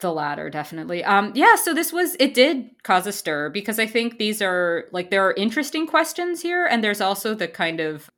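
A woman's voice: medium pitch, big pitch swings, a little fast, hardly any pauses, fairly bright tone. A woman co-hosting a podcast in casual chat, playful and ironic, rambling with fillers and laughs. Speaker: podcast co-host.

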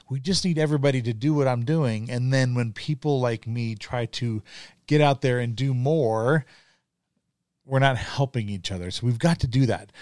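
A man's voice: medium pitch, a little mellow, dry recording. Earnest middle-aged man, dry close sound. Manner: earnest, conversational, with frequent ums and restarts. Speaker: podcast host. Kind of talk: casual monologue.

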